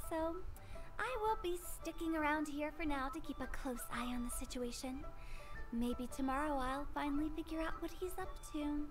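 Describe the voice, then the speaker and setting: A woman's voice: high-pitched, recorded from a distance, lightly acted. A woman voicing a quirky, talkative teenage girl in a video game, telling things in a theatrical way. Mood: suspicious.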